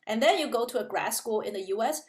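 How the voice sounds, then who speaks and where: A woman's voice: on the high side, expressive, fast talker. Thoughtful woman, echoey room. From China. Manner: thoughtful, explanatory, with many fillers. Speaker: physics professor, guest. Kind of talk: casual interview chat.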